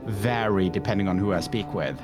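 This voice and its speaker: A man's voice: fairly low pitch, brisk, music in the background. A man, chatting casually on a game stream with many fillers and restarts. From Norway. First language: Norwegian.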